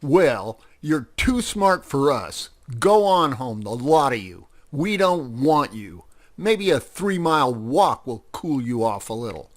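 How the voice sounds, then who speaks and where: A man's voice: mid-range voice, animated, takes it slowly, a bit bright. Man acting a huffy, proud barnyard cat. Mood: scornful. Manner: huffy, threatening. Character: proud, glaring male barnyard cat, easily offended.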